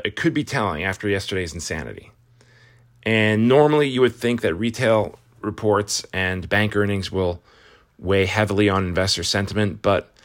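A man hosting a casual morning market rundown, talking conversationally with frequent restarts and fillers, slightly hoarse from a cold. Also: on the low side, fairly bright tone. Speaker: market news host.

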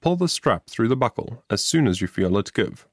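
Low-voiced male audiobook narrator. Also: sing-song, moderate pace, no echo, clean studio sound. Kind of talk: scripted story narration, moralizing tale.

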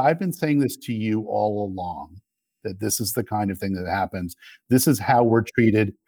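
A man speaking as a guest in a casual political discussion, measured and hesitant, restarting his phrases. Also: mid-range voice, a little mellow, dry recording. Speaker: podcast guest, media executive.

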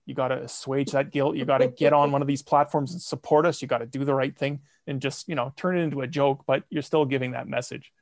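A man's voice: mid-range voice, fairly even intonation, quick pace, gravelly, hardly any pauses. A man on a podcast as a guest, joking breezily as he plugs his show. His voice sits mid-range and sounds close and dry, with no room echo. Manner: jokey, breezy promotional delivery. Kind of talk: casual interview chat.

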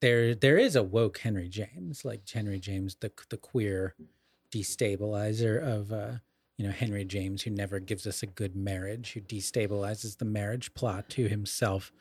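A man's voice: fairly low pitch, moderate pace, darkish tone, breathy edge, no echo. A man co-hosting a casual literary discussion, analytical and careful to qualify, using academic vocabulary. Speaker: podcast co-host.

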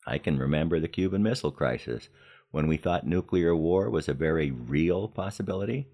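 An American man in his seventies narrating a scripted personal podcast in a measured storytelling manner with deliberate emphasis. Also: rumbling low, lively intonation, mellow. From Los Angeles, United States. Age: 70s. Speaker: podcast host.

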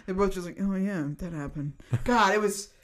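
A woman co-hosting a podcast, chatting casually and telling lively, swear-laced stories. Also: medium pitch, normal speed. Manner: animated storyteller, swears casually. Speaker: podcast co-host. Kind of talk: casual chat.

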